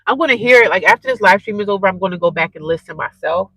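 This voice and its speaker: A woman's voice: medium pitch, expressive, racing, a bit bright, husky, no echo, low-quality recording. Congested, chatty woman on a live stream. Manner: rambling, chatty, talks straight to viewers. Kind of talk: casual live-stream chat with viewers. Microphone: cheap earphone mic.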